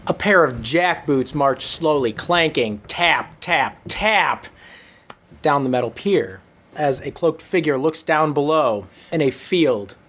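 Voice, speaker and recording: A man reading a comic story to listeners with dramatic, drawn-out noir-style narration. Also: a little high, a bit bright, slight background noise. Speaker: comedy storyteller.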